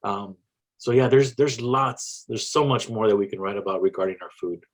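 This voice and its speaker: A man with a South Texas accent talks about his poetry on a podcast. His voice sits low, and he pauses and restarts often as he thinks aloud. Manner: reflective, halting, with many restarts and fillers. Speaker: poet guest. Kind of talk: casual interview chat.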